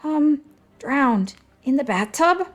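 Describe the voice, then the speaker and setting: A woman's voice: sits high, lively intonation, slow pace, voice pushed up. A woman voicing a timid young male sidekick in an audiobook, hesitant and trying to sound brave. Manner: hesitant, gulping, forced cheer.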